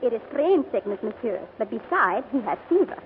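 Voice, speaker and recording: A woman's voice: fairly high pitch, big pitch swings, brisk. A woman acting a kindly, reassuring French lady with a French accent in a 1940s radio drama. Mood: gentle, reassuring. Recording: tinny old radio recording, faint noise.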